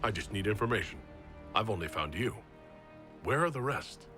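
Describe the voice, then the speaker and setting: An actor playing a composed, probing male agent in a fantasy game, with a measured, questioning manner. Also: fairly low pitch, animated, natural voice. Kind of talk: dramatic fantasy game acting. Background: background music.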